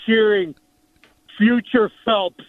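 A man's voice: sits high, fairly even intonation, takes it slowly, a little hiss or hum. A man phoning in to a radio show with a casual anecdote over a slightly muffled line. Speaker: radio call-in listener. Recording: slightly muffled phone call, dry.

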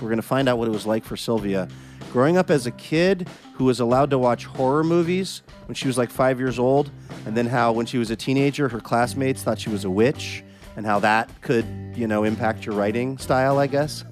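A man with an American accent and a slightly scratchy voice, hosting a radio show in a casual, conversational way. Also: mid-range voice. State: slightly scratchy voice, under the weather. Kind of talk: scripted radio intro, casual banter.

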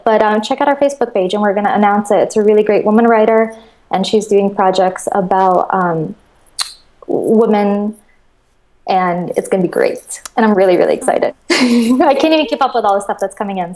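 A woman's voice: mid-range voice, fairly quick, mellow. A woman from Russia, guest in a casual interview, talking enthusiastically and chattily about her crowdfunding platform over a video call. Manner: enthusiastic, chatty, laughs often.

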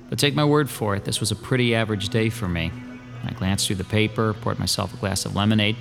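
Radio play: lower-voiced brooding artist in his forties, music clear behind. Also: a bit bright. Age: 40s. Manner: weary, brooding, confessional. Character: broke, weary male artist in his forties. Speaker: narrating protagonist. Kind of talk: first-person radio drama.

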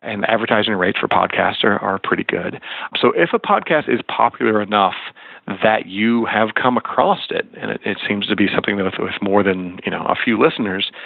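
A man's voice: fairly low pitch, gravelly, husky, no echo. A man explaining things as an expert interview guest, heard over a thin, phone-like connection. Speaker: guest, music and podcast lawyer.

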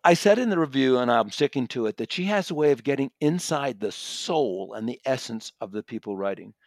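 A man's voice: mid-range voice, lively intonation, brisk, a little mellow, no echo, studio quality. A man chatting as a guest reviewer about books in a conversational way. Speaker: book reviewer and contributor. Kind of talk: conversational book review discussion.

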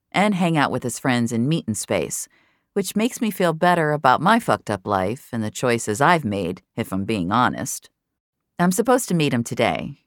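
A woman's voice: extremely low pitch, no echo, studio quality. A woman narrating scripted fiction in the first person, in a confessional, intimate storytelling manner. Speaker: narrator of an audio fiction series.

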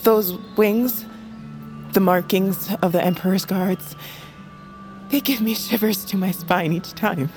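A woman playing a female bird-folk assassin who poses as a meek, hesitant lowly scavenger in an audio drama, often hushed. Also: slow, long pauses, natural voice. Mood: meek, deferential. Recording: music behind the voice. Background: music.

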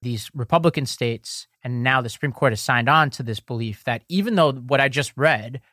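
Man, podcast co-host, mid pitch, explanatory, dry close sound. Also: normal speed, pushed and bright, no echo. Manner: explanatory, walks through legal details step by step. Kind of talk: casual political podcast chat and ad read.

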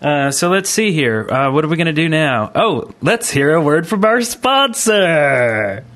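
A man's voice: a little high, very animated, unhurried, a bit bright. A man hosting a gaming podcast with casual, off-the-cuff chat. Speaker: podcast host.

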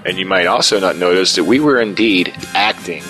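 A man plays a formal, polite sidekick in a podcast skit. His voice sits fairly low and delivers scripted lines over music. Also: brisk, bright, forceful tone.

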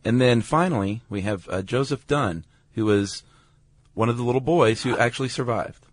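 A man's voice: medium pitch, moderate pace, dry recording. A man hosting a podcast in a casual, hesitant, dry conversational way. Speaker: podcast host. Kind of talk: casual chat, introducing a cast.

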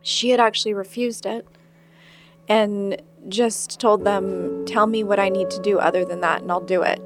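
A woman's voice: mid-range voice, steady tone, normal speed, music in the background. A woman giving a personal, spoken reminiscence as an interviewee. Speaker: interviewee remembering her late father.

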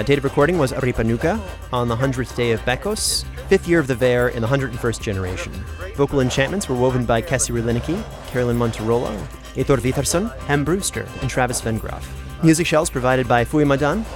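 A scholarly fantasy enchanter played by an actor, hesitant and drily teasing, speaking in a halting, natural way. The voice sits at a middle pitch, with music clearly playing behind it. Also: animated, natural voice. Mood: pleased, brisk. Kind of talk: naturalistic audio drama dialogue.

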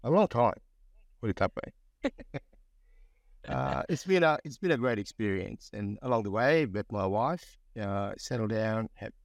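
A man in his forties from Fiji, a pharmacist guest talking in a relaxed, reflective way with many fillers. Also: on the low side, measured pace, vocal fry, dry recording, clean studio sound. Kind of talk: conversational interview.

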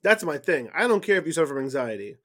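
Male podcast co-host, earnest and hesitant, slightly raised pitch. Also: very animated, quick pace, fairly bright tone. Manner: earnest, hesitant, repeats words. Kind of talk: casual chat.

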